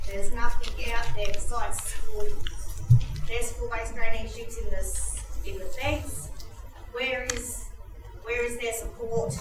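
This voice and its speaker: A woman in the audience pressing a candidate with rapid, pointed questions. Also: on the high side, steady tone, a little hiss or hum, rough recording. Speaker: audience member asking a question.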